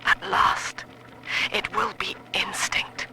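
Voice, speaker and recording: A woman playing a cold, zealous psychiatrist, delivering a measured, clinical and grandiose diary monologue. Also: pushed and bright, in a whisper, poor audio. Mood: cold conviction. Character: cold, zealous female psychiatrist with utopian ambitions. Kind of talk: dramatic recorded audio-diary monologue.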